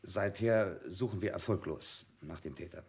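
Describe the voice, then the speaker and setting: Radio mystery: earnest inspector, lower register, respectful and factual with small hesitations. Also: male voice, moderate pace, darkish tone. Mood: sober. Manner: formal, hesitant, deferential. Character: deferential, earnest police inspector reporting facts. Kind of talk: German radio crime drama acting.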